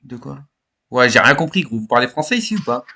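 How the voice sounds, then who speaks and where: A man's voice: mid-range voice, very animated, fast, vocal fry, pushed and bright. A young man chatting casually in an online game voice chat, brash and full of slang and swearing. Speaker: online roleplay game player. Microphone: online voice chat microphone.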